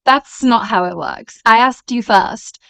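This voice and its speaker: A woman's voice: a little high, a little slow, vocal fry, fairly bright tone, no room sound. A woman playing a smug, taunting rival schoolgirl in a voiced drama series, with a sardonic, drawling delivery. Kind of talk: voice-acted series dialogue.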